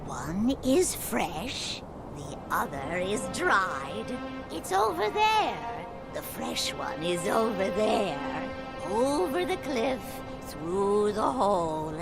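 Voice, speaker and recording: A woman playing an eccentric, cryptic old crone in a video game, muttering in a halting way. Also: mid-range voice, very animated, vocal fry, full character voice, poor audio. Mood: cryptic, eager. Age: elderly. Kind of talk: video game cutscene acting. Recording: loud background music.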